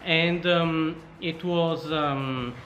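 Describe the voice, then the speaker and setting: A man's voice: on the high side, steady tone, drawn-out words, fairly bright tone, muffled recording. A man from Sardinia with an Italian accent, casually telling his story as an interview guest. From Sardinia, Italy. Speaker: startup co-founder, interview guest. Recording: echoey room, faint background noise.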